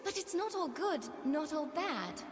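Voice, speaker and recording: High, prim young doll champion. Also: female voice, very animated, unhurried. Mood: bright, spirited. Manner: prim, earnest, theatrical. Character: plucky young living doll champion with giant scissors. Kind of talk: video game character voice lines. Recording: long reverb with music behind.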